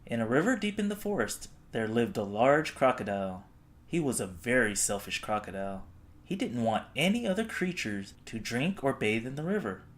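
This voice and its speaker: A man narrating a children's storybook expressively, with dramatic, deliberate phrasing. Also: mid-range voice, very animated. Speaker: storybook reader.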